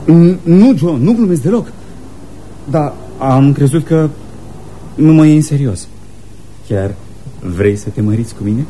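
An actor playing a shy, earnest, devoted young sailor in love, speaking hesitantly and tenderly in a radio theatre style. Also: male voice, a little high, lively intonation, pauses often, warm tone, slight background noise, close to an everyday voice, poor audio.

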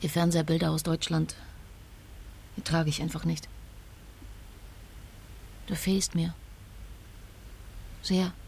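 An actress playing a grieving young mother in a radio drama, speaking intimately and wistfully in a breathy voice. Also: low-pitched, level tone, slow pace, pauses often, darkish tone, slight background noise, exaggerated voice. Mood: sad, longing. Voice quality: husky, breathy. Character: grieving young mother talking to her absent husband.

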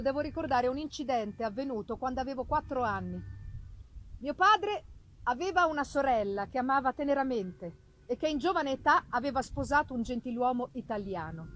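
A woman reading a literary novel aloud as dramatic narration, measured and expressive, with deliberate pauses. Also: high-pitched, rough recording. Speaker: reader of a novel. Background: live musical accompaniment announced for the reading.

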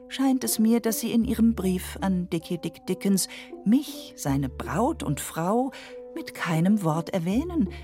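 Haughty, mock-formal gangster's sweetheart, lowish voice. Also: female voice, mellow. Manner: pompous, mock-formal with English phrases mixed in. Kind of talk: dramatic reading of a satirical letter.

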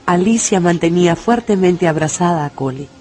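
An actress narrating an adventure novel as a storyteller in a dramatized radio audiobook. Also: female voice, on the low side, moderate pace, a little mellow, faint music behind, lightly acted. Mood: tender storytelling.

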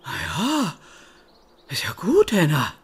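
An actress playing a spiteful, gossipy old mother in a village audio drama, with a raspy, indignant, sharp-tongued delivery. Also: low voice, wildly swooping pitch, slow, exaggerated voice. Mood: affronted.

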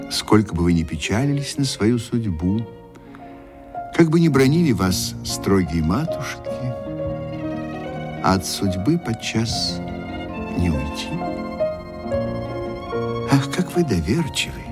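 Courtly old-fashioned storyteller, confiding, low voice, richly varied intonation, radio play. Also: male voice, very animated, slow, long pauses. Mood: pitying, admonishing. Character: confiding male storyteller, tenderly pitying naive young ladies. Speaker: narrator. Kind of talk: theatrical radio play narration addressed to listeners. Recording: radio broadcast with faint music behind.

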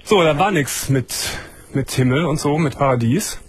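A man hosting a late-night call-in show from a studio, asking calm, probing questions and giving short replies as he listens. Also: a little high, normal speed, husky, no room sound. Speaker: radio and TV talk show host.

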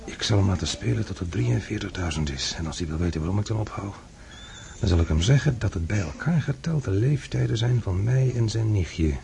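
An actor playing a suave, taunting gambler in a radio drama, mock-courteous and smug. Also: male voice, fairly low pitch, animated, brisk, gravelly, mellow. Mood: coolly calculating. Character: suave, taunting male gambler, coolly gloating over his victim. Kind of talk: radio drama acting in Dutch. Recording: radio play with crowd noise behind.

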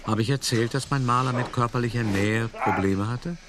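A man playing a shrewd, worldly art dealer who recounts his past in a radio drama, in a wry, measured, conversational way. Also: medium pitch, heavy background noise, lightly acted. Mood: confiding. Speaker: narrator. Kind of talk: radio drama monologue, first-person storytelling.

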